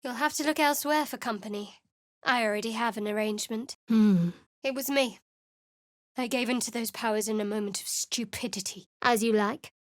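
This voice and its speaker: Woman doing guarded game cleric girl, clipped and sardonic, kinda high voice, super dry booth sound. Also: moderate pace, no room sound, close to an everyday voice. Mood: curt. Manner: cool, wry and clipped. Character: guarded young female cleric, secretive, sharp-tongued. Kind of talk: video game voice lines. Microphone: dry close studio mic.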